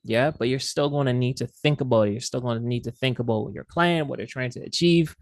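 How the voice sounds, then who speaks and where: A man's voice: medium pitch, fairly quick, dry recording, studio quality. A man teaching an online class as instructor, speaking casually and loosely with fillers and restarts, heard over a video call.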